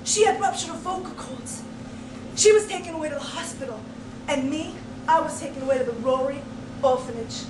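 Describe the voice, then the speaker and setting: A woman telling a comic tall tale as a speech contestant, theatrical and emphatic, with folksy phrasing and dramatic pauses. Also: sits high. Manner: folksy storytelling turns of phrase. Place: indoor speech contest room, distant microphone. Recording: roomy, noisy live recording from far off.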